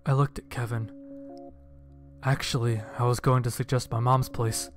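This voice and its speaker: Man, medium pitch, scripted first-person narration, acted dialogue, music behind. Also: flat delivery, normal speed. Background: background music.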